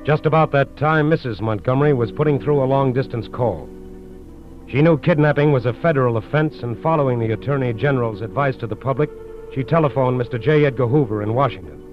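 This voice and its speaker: An actor with an American accent as a solemn narrator, delivering dramatic scripted 1940s radio crime narration. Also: male voice, medium pitch, fairly quick, close to an everyday voice. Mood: grave. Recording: muffled old radio broadcast. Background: dramatic music.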